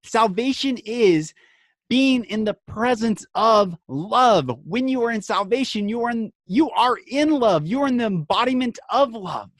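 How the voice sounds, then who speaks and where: A man hosting a podcast, speaking in an earnest, emphatic inspirational monologue broken into short phrases with dramatic pauses. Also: extremely high pitch, lively intonation, no echo, clean studio sound.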